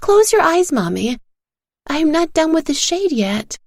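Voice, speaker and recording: Woman acting as little girl, high voice, earnest, childlike, sweet, audio storybook. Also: sing-song, a little slow, no room sound, studio quality, heavily acted. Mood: playful, matter-of-fact. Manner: childlike, earnest, simple phrasing. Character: sweet, earnest little girl telling her mother nightmares.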